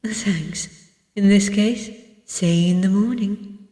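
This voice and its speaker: A woman's voice: mid-range voice, takes it slowly, dark tone, close to an everyday voice. A woman voicing a tired, reserved male police inspector in a detective game, terse and understated. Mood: polite, tired. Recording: echoey, reverberant room, distant mic.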